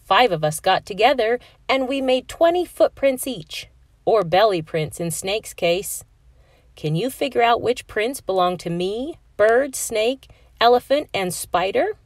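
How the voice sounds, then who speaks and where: A cheerful storybook frog, voiced by a woman, full of classroom enthusiasm. The delivery is loud and emphatic, with lifted pitch. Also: expressive, a little slow, no room sound, clean studio sound. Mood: eager.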